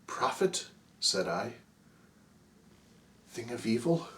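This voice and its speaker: Slow, theatrical man reciting gothic poetry. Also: mid-range voice, sing-song, reverberant, distant mic. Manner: intense, theatrical, swinging from hushed to anguished shrieks. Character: grief-stricken man tormented to madness, narrating.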